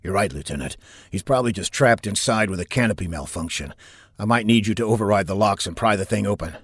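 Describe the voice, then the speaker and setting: A man's voice: lowish voice, quick pace, husky, no room sound, studio quality. A man voicing a brusque male squadron commander who speaks curtly and curses. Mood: commanding. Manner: curt and commanding, cursing.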